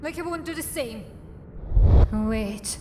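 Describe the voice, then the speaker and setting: A woman playing a wry, guarded dark elf assassin in a fantasy game, dry and sardonic in her delivery. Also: sits high, animated, slow, very noisy. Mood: sardonic musing. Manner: dry, sardonic, muttering to herself. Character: wry, guarded female drow assassin adventurer. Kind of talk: acted fantasy game dialogue and monologue.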